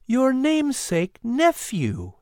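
A man's voice: extremely high pitch, wildly swooping pitch, takes it slowly, darkish tone, no room sound, clean studio sound, voice pushed up, full character voice. A man voicing a cheerful, teasing colonial hostess in a storybook, with emphatic, exaggerated enunciation. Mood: proud, teasing.

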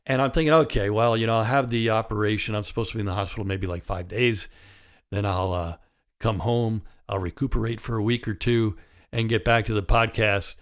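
A man in his sixties hosts his solo podcast in a raspy, slightly low voice. A partly paralyzed vocal cord leaves his tone rough. He talks candidly and wryly, in a loose conversational way. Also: steady tone, brisk. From United States. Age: 60s. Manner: candid, wry and conversational, with chuckles. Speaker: podcast host. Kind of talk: reflective solo podcast monologue.

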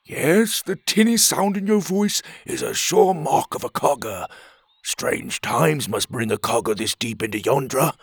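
A man voicing a grumpy, proud old blind lizard creature who snarls and huffs, higher than his own voice, in a storybook reading. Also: expressive, unhurried, creaky, slightly rough, no room sound, exaggerated voice. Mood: indignant.

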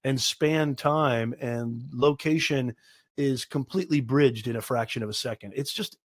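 A man co-hosting a casual live stream, slightly hoarse and raspy from a cold, chatty and joking. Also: medium pitch, normal speed, no room sound, clean studio sound. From Southern California. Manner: joking, chatty, laughs often. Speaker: co-host.

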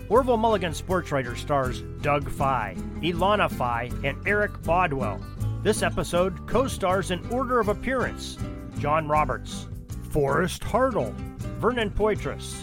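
A man reading scripted end credits as the announcer of an audio drama podcast. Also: a little high.

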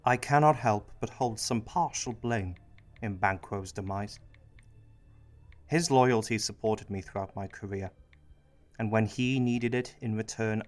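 An actor playing an anxious, guilt-ridden Prime Minister in a satirical radio play, whiny and flustered. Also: male voice, lowish voice, lightly acted. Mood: solemn.

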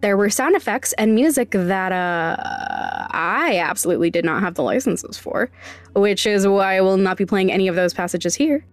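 A woman's voice: medium pitch, big pitch swings, moderate pace, soft background music. A young adult woman hosting a podcast, narrating conversationally in a candid, informal, wryly self-deprecating way. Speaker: podcast host and sound designer.

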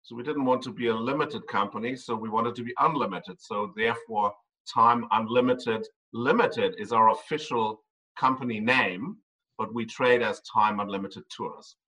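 A man's voice: mid-range voice, steady tone, moderate pace, pushed and bright, recorded from a distance, low-quality recording. A man who grew up in Ireland and Germany, a tour company owner giving loose, rambling interview answers full of ums.

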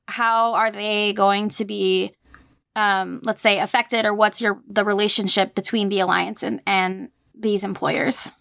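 A woman's voice: fairly high pitch, fairly bright tone, clear, resonant tone, no room sound. Woman interviewer with dry, close sound. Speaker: interviewer, podcast host. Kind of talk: conversational interviewing.

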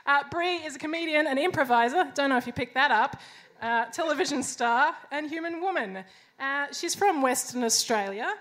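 A woman hosting a live science comedy debate on a bar stage, quick and jokey, giggling between lines into a stage microphone. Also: sits high, lively intonation, a bit bright.

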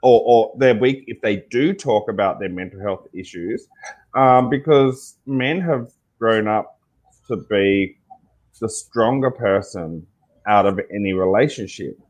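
An Australian man with a fairly low voice talks in an interview as an advocate. He is earnest and conversational, restating his points in parallel phrases to drive them home. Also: a little slow, highs cut off. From Tasmania, Australia. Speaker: interview guest, mental health advocate.

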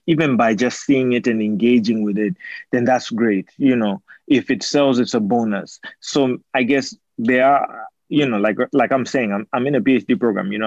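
A man's voice: mid-range voice, darkish tone, no echo, muffled recording. A young man from Zambia, an artist and PhD student, giving thoughtful interview answers with many fillers and restarts.

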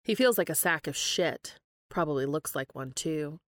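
Close, dry-sounding woman narrator, lowish voice. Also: animated, moderate pace, dry recording. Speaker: podcast host and reader. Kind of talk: scripted narration of fan fiction.

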